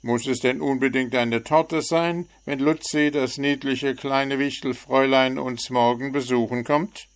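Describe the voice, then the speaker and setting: A man's voice: medium pitch, steady tone, measured pace, hardly any pauses, voice pushed up, close to an everyday voice. A man voicing a cheeky little bird who teases with sarcastic remarks, in a storybook reading. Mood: teasing. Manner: teasing, sarcastic.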